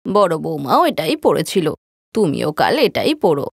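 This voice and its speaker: Woman playing older mother-in-law, cartoon, scheming, bossy, blustering, lowered voice, dry close sound. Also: sing-song, measured pace, gravelly, breathy edge, no echo, exaggerated voice. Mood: coaxing, commanding.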